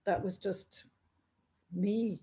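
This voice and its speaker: A woman's voice: medium pitch, steady tone, very slow, dark tone. A middle-aged woman from the Netherlands, a singer and writer, telling her family story in a rambling way with many restarts, speaking from home. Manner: rambling, restarts often, trails off. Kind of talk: remote interview, conversational storytelling. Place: home in Dublin, near a busy road.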